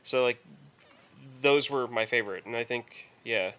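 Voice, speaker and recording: A man co-hosting a podcast in casual chat, rambling haltingly and repeating words as he goes. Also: medium pitch, fairly bright tone, smooth. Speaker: podcast co-host.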